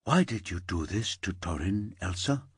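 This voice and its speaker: A man reads a pragmatic senior detective, a woman, in his near-natural voice, calm with lively turns. Dry and close. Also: low-pitched, moderate pace, slightly rough, no echo, heavily acted. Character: level-headed senior female detective.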